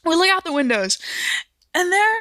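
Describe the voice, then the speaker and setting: A woman who is a regular podcast player, chatting casually and telling stories in an animated, conversational way. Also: very high voice, moderate pace, no echo. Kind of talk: casual chat and animated in-character recap storytelling.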